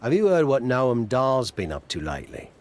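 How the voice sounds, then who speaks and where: A chatty male sidekick acted by a man talks in a lively, swooping mid-range voice. He sounds eager and pleased with himself, as a character in a video game cutscene. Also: medium pitch, normal speed, faint music behind, natural voice.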